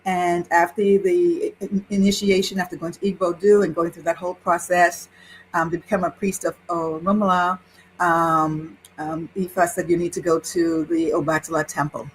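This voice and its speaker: A woman in her sixties, a priestess and interview guest, recounting her spiritual journey in an animated way with frequent laughter. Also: lowish voice, moderate pace, slight background noise, rough recording. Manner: animated, laughs while telling a story. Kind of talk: personal storytelling in conversation.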